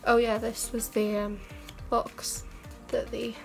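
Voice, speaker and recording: A young woman, a beauty vlogger, chatting casually through a makeup test in her bedroom; she sounds croaky and stuffed up from a cold and rambles with self-mocking asides. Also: a little high, level tone, slow pace, over music. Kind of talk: casual makeup tutorial chat. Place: bedroom, indoors. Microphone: camera mic at arm's length.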